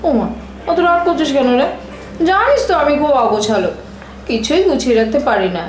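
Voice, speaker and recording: A woman playing an affectionate, scatterbrained younger sister in an audio drama, coaxing and expressive. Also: sits high, brisk. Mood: coaxing, concerned. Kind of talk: Bengali audio drama acting. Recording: echoey room, music bed.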